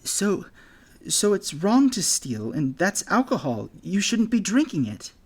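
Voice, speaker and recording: A scared young boy, voice shaky but earnest, pitched well above the reader's usual tone as he gathers his nerve, as a male narrator puts him on. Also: very animated, measured pace, husky, heavily acted. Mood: nervous.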